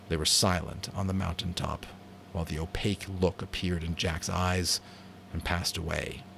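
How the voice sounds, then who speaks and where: A man narrating a novel as a dramatic audiobook reading, expressive and theatrical. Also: low voice, creaky, a little hiss or hum.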